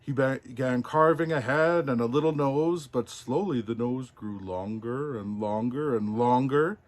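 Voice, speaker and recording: A man narrating a children's storybook as Santa, in an expressive, deliberate way. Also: mid-range voice.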